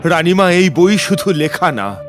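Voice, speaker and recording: Man playing a slow, earnest young hero. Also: high-pitched, sing-song, over music. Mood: grave. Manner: solemn, deliberate, respectful. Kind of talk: animated horror fairy tale acting.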